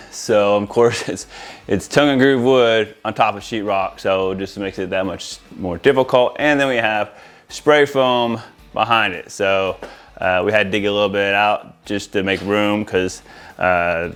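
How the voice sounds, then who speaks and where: A man's voice: lowish voice, moderate pace, a bit bright. A man talking informally to viewers as an installer, walking through a product demo in a casual way and addressing them as guys. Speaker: audio-video installer presenting to viewers.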